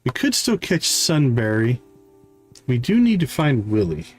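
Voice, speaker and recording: Lively-pitched man streaming a game. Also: unhurried, soft background music. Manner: thinks aloud, reads game dialogue aloud. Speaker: live streamer and game host. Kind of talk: casual live gameplay commentary, chatting to viewers.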